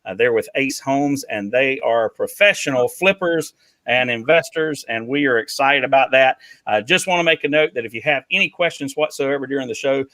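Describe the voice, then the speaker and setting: A man's voice: medium pitch, normal speed, fairly bright tone, no room sound. A man hosting a live talk show, upbeat and joking, with lots of fillers and restarts. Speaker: host.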